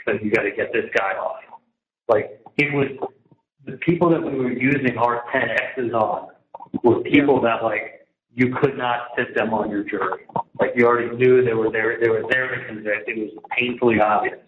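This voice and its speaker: An exasperated man talks at length on a casual phone call, circling back and repeating himself. The recording is roomy and muffled, with faint noise behind the voice. His pitch sits in the middle. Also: steady tone, normal speed. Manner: exasperated, repetitive, recounting at length. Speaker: prison inmate on a phone call. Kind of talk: casual phone conversation, venting. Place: prison, on a recorded phone line. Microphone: telephone handset.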